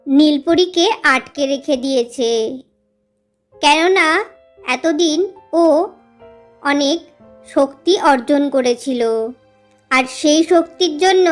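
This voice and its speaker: A woman voicing a powerful fairy queen in a children's cartoon, solemn, authoritative and instructive. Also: high-pitched. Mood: grave.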